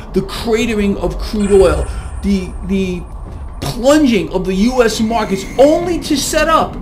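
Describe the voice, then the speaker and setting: A man's voice: high voice, background noise. A man giving urgent political and economic commentary in an emphatic, alarmed manner.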